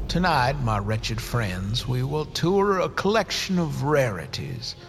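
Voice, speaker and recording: Creaky, slow, ghoulish horror host. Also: male voice, mid-range voice, full character voice. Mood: gloating, menacing. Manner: slow, menacing, mock-grandiose, drawn-out words. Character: ghoulish immortal horror host, sardonic, sneering at mortals. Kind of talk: theatrical horror anthology narration. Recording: loud music behind the voice.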